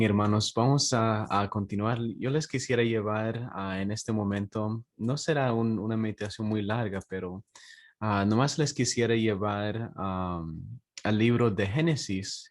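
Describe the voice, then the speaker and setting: A man from Texas speaking as a pastor in an informal devotional, in a halting way with frequent drawn-out hesitations. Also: on the low side, steady tone. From Texas, United States. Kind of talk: informal morning devotional with prayer and personal stories.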